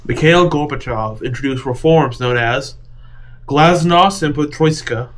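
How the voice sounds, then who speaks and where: A man's voice: on the high side, unhurried. A man playing a board game, chatting casually and reading out quiz questions.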